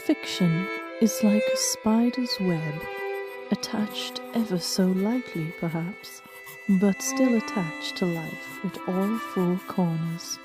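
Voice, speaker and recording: A woman's voice: medium pitch, slow pace, music in the background. A woman reading scripted stories aloud as a narrator and host. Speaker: host and narrator of a fan fiction reading show.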